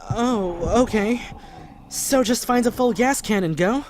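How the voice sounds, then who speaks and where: A performer playing an earnest, anxious, kindly young man surviving a zombie apocalypse in an audio drama, with a gentle, hesitant manner. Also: medium pitch, measured pace, slight background noise, lightly acted. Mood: uneasy.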